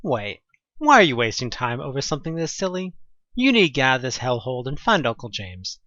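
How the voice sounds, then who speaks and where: Guy reading a horror audio drama, really animated and suspenseful, kinda muffled lo-fi sound. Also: medium pitch, a little fast, no echo. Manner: expressive, suspenseful delivery. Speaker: narrator of an audio play. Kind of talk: dramatic second-person horror story narration.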